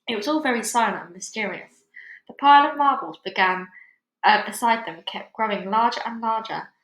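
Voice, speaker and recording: A woman narrating a children's book aloud in an expressive storytelling way. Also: a little high, moderate pace. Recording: echoey room.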